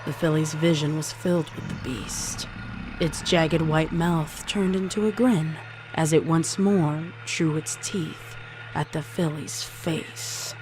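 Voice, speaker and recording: A woman's voice: low voice, steady tone, takes it slowly, noisy background. A woman reading scripted horror fiction as a dramatic story narrator. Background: music under the voice.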